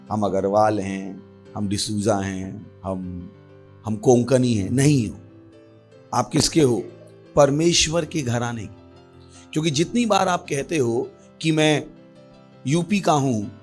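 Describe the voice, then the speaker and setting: Male pastor, Indian accent, mid-pitched voice, emphatic sermon delivery with repeated refrains, music underneath. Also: darkish tone. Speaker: pastor preaching to a congregation. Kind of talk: sermon with prayer and Bible teaching.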